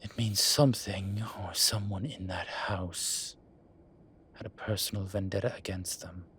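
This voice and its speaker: Slow, low-voiced suave demon professor played by a man. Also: fairly even intonation, a bit bright, slight background noise. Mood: grave. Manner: formal, measured, teasing, wry. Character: suave male incubus demon professor, wry, vain, unhurried. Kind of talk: scripted audio roleplay.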